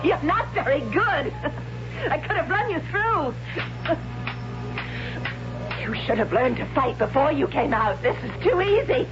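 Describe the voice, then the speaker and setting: A proud huntress in a 1970s radio drama. The voice is fairly high and swoops theatrically, commanding and taunting. It sits in a muffled vintage broadcast with music behind it. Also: female voice, sing-song, measured pace, pauses often. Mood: mocking. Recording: dull old radio recording with music.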